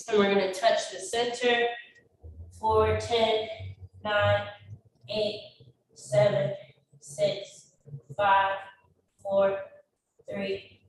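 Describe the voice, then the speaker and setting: A woman instructor leading a chair stretching class with slow, encouraging counts, a little stuffy from a cold. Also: medium pitch, fairly even intonation. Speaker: fitness instructor. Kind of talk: guided exercise class with counting. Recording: very echoey, distant, faint noise.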